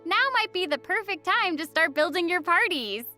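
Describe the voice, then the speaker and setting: A woman with a high, bright, forceful voice presents game news from a script. She is playful and enthusiastic, swooping widely in pitch, with light music underneath. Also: animated, moderate pace. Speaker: presenter of a video game update program. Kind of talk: upbeat scripted co-hosted presentation. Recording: music behind the voice. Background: background music.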